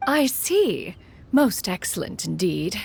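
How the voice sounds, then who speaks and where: A woman's voice: medium pitch, sing-song, slow, vocal fry, breathy edge, heavily acted. A woman voicing a proud, aloof ancient crane immortal in a video game, speaking in haughty, formal, archaic phrases. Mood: composed, stately. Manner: haughty, formal, archaic wording, calls herself "one". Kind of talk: video game character acting. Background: background music.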